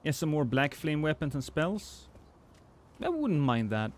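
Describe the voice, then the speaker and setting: Norwegian man, British-tinged accent, chatty streamer; lively, slightly high pitch; faint hiss behind. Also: unhurried. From Norway. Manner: chatty, self-deprecating, many restarts. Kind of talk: casual gameplay livestream chat.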